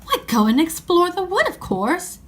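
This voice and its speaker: A woman's voice: very high-pitched, big pitch swings, moderate pace, voice pushed up. A woman voicing an earnest, fair-minded eldest-brother schoolboy in a children's story, with emphatic old-fashioned phrasing.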